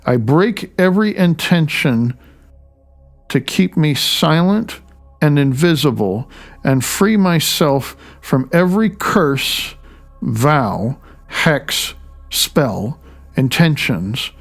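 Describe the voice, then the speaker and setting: A man reading his own audiobook as its author, in a deliberate, emphatic way, phrase by phrase. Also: on the high side, big pitch swings, gravelly, faint music behind. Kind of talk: audiobook narration with recited affirmations and asides.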